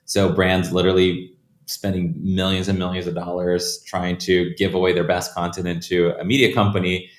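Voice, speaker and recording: A man's voice: sits low, level tone, moderate pace. A man from Brazil, a guest on an interview podcast, telling his career story in a loose, conversational way and often repeating words as he restarts. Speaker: guest, startup founder. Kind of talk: casual interview answers. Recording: echoey room.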